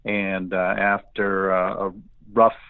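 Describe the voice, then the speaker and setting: An older man chats as a sports interview guest in a low voice with little pitch movement. He comes through a tinny phone line. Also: little pitch movement, slow, clean tone, dry recording. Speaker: guest, radio play-by-play broadcaster. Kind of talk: casual interview talk.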